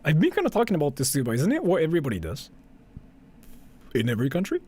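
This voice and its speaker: A man's voice: on the high side, huge pitch swings, fast talker, dark tone, husky. A man hosting a livestream in a casual, blunt way, thinking aloud and swearing freely. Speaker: livestream host. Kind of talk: casual livestream reaction chat.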